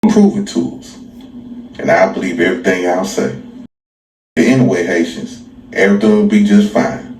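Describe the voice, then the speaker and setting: An American man with a fairly low voice gives a mocking, sarcastic monologue. He repeats phrases to drive them home. The room is echoey and he sits a bit away from the mic. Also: normal speed, long pauses, darkish tone, far from the mic, slight background noise. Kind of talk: monologue to camera.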